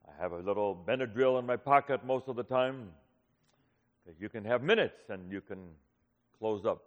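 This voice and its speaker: A man in his fifties, a pastor, preaching a sermon in a relaxed storytelling way with frequent pauses. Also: on the low side. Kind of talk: sermon with personal stories. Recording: muffled hall recording with long reverb.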